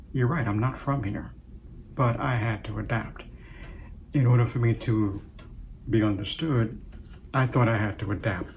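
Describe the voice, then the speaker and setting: An older man in his sixties, raised in Trinidad, talks to his viewers as host of his own show. His voice sits in an ordinary middle pitch, and he speaks unhurriedly and reflectively, pausing often as he gathers his thoughts. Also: gravelly, a little mellow, a little hiss or hum. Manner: reflective, unhurried, with many pauses. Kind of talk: casual personal talk to camera.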